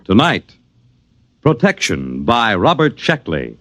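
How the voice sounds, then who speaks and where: A man announcing a radio show in a formal, dramatic and measured way, on an old radio broadcast. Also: fairly low pitch, wildly swooping pitch, background noise.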